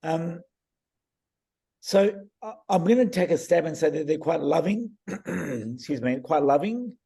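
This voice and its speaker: Croaky-voiced man, warm and chatty. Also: on the high side, expressive, a little slow. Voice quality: hesitant, many restarts and fillers. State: croaky voice, clearing throat. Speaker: iridologist guest reading eyes. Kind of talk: casual video-call discussion.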